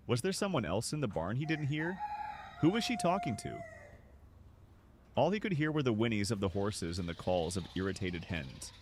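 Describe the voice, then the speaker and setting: A man narrating a scripted story for an audio drama in an expressive, dramatic way. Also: lowish voice, a little hiss or hum. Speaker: narrator and voice actor.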